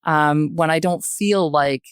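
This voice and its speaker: An older woman talks as a podcast guest. Her voice sits low and the sound is dry. She thinks aloud with emphasis, often checking that the listener follows. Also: slow, clean tone, no echo. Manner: emphatic, searching phrasing, often checking with "right?". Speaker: podcast guest, retired journalist. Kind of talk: reflective podcast discussion.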